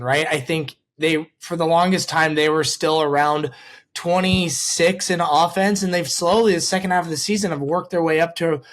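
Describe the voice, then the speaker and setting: A man's voice: on the high side, a bit bright. Enthusiastic man hosting casual sports chat. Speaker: sports podcast host.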